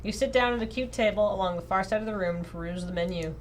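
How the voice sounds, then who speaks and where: A woman reading a visual novel aloud on a livestream in an expressive, casual way, often breaking off into playful asides. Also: medium pitch, fast, a little hiss or hum.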